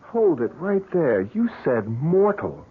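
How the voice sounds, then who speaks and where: Radio drama lead: a headstrong, excitable, argumentative man, lively intonation, narrow old broadcast sound. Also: fairly high pitch, slow pace. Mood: defiant. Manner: insistent, argumentative. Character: stubborn, ambitious man, defiant and excitable. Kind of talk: 1970s radio mystery drama acting. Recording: tinny, band-limited old radio broadcast.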